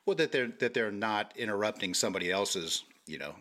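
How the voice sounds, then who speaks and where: Deep-voiced bright man, halting and diplomatic. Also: expressive. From Hawaii. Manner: halting, many restarts and fillers, diplomatic. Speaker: guest. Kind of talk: casual podcast chat.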